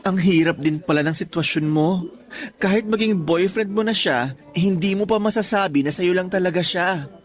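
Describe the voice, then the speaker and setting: An actor voicing a woman who is a teasing, blunt office friend, with exaggerated, drawn-out delivery in a radio drama. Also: lowish voice, faint music behind, lightly acted. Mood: blunt, concerned. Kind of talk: Tagalog radio drama dialogue.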